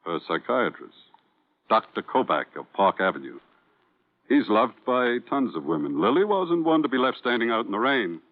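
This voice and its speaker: An actor playing a sardonic Broadway producer, biting and theatrical, in an old-time radio crime drama. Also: male voice, mid-range voice, big pitch swings, normal speed. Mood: sardonic, wistful. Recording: tinny, band-limited vintage radio recording.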